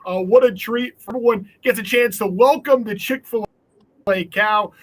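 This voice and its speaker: A man's voice: high voice, a little slow. A man with an American accent hosting a children's online event in an upbeat, chatty style. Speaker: event host.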